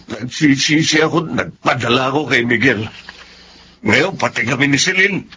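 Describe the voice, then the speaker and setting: A man's voice: mid-range voice, moderate pace, background noise, lightly acted. A man playing a troubled, regretful husband in a Filipino radio drama. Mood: regretful, worried.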